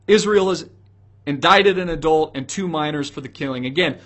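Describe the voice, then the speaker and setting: A man with a notably high-pitched voice delivers solo political commentary as an online host. He is opinionated and preachy, leaning hard on his points and addressing viewers directly with rhetorical questions. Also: normal speed, a bit bright.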